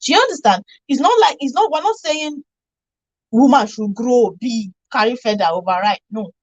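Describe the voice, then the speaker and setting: A woman's voice: on the high side, lively intonation, normal speed, no echo, dull audio. A woman with a Nigerian accent arguing insistently as a guest on a radio discussion, repeating herself for emphasis. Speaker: panel guest.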